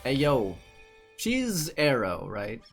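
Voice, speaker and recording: A man's voice: mid-range voice, wildly swooping pitch, takes it slowly, faint music behind. A man giving casual playthrough commentary as a gaming streamer, reacting aloud and musing in questions.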